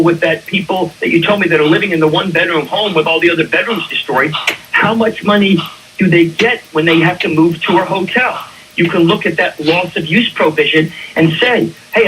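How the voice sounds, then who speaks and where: Man, high voice, emphatic conversational explainer, rhetorical questions, radio talk, slightly distant mic with faint noise. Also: a bit bright. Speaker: attorney and insurance claims expert, radio guest. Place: radio station.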